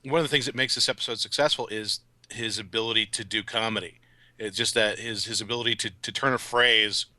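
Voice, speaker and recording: A man's voice: on the low side, bright, forceful tone, no room sound, low-quality recording. A man appearing as a podcast guest, giving casual conversational commentary.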